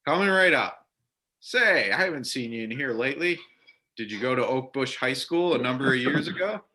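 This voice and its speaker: A man acts out a burly biker bartender and veteran in a readers theater piece. The voice is bright and forceful at a middle pitch. He talks in a folksy, blunt, chatty way. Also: animated, normal speed. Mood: hearty, friendly. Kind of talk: amateur readers theater acting.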